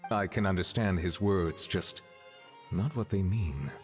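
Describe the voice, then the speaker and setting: An actor playing a composed, courteous chief judge in a video game, speaking in a formal, measured, polite way. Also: male voice, low-pitched. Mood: puzzled. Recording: hall-like reverb. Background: soft orchestral music.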